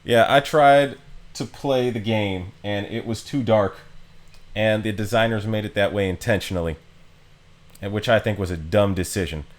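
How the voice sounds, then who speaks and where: A man's voice: lowish voice, moderate pace. A man streaming a game with casual, informal commentary and wry asides.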